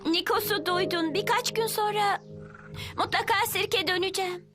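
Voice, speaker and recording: A woman voicing a gentle, affectionate young circus girl with a childlike voice in a dubbed cartoon. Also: very high voice, normal speed. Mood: tender, reassuring. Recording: music behind the voice.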